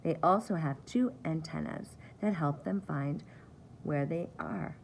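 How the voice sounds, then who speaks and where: A woman with a low, creaky voice reads a children's book at a very unhurried pace. She speaks like a preschool teacher at story time, spelling things out simply and repeating key words. Faint noise sits behind her. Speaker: pre-K teacher reading aloud.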